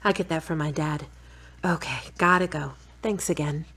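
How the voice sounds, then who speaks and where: Lower-voiced, frank, wry girlfriend , an actress. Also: steady tone, moderate pace, sharp tone, husky, full character voice. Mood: teasing, affectionate. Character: frank, supportive, wry girlfriend, a radio reporter. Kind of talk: audio drama dialogue.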